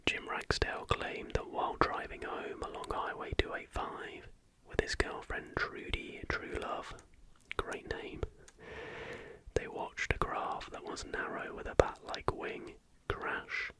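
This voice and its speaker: A man narrating in an unvoiced, breathy whisper as the softly spoken host of an ASMR channel. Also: slow pace.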